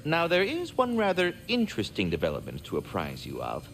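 A man's voice: fairly low pitch, huge pitch swings, a little fast, a bit bright, a little hiss or hum, heavily acted. A man voicing a courteous, fretful, erudite magical squirrel in a video game, speaking in formal, wordy, theatrical phrases. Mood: warm, grateful. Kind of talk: video game character acting.

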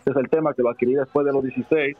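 A man's voice: mid-range voice, steady tone, rapid-fire. A young Dominican man, a basketball player, answering interview questions in a small studio, with many fillers and restarts. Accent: Dominican Spanish. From Dominican Republic. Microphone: headset and desk mic. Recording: dry, phone-like narrow sound.

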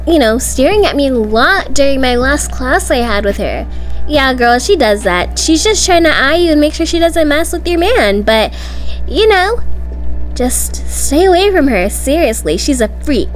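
Young woman w high voice doing dramatic slangy teen roleplay, music playing behind her. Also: big pitch swings, moderate pace, low-quality recording. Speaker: video creator voicing all characters of a school roleplay story. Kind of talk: amateur voiced roleplay acting, casual teen dialogue. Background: background music.

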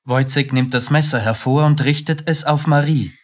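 A man's voice: fairly high pitch, moderate pace. A man narrating a scripted radio play in a sober, matter-of-fact manner.

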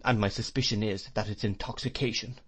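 A man reading an audiobook, voicing a haughty, sarcastic spinster who speaks slowly and sardonically. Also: fairly low pitch, fairly even intonation, fairly bright tone, slightly rough. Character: icy, sarcastic middle-aged spinster.

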